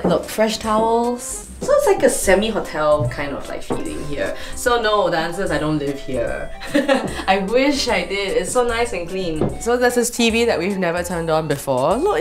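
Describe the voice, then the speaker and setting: A woman's voice: mid-range voice, big pitch swings, moderate pace, few pauses, over music. A young woman from Singapore with a Singaporean English accent, chatting in a bubbly, giggly way as the host of a beauty show, filmed in a small apartment set. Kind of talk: casual vlog chat. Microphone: off-camera mic.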